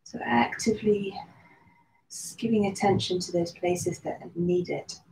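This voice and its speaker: Woman guiding a breathing meditation, soft mellow voice, calm and slow, echoey room. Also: mid-range voice, creaky. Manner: slow, soothing guidance with long pauses. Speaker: meditation and yoga teacher. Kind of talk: guided meditation. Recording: roomy echo with faint background noise.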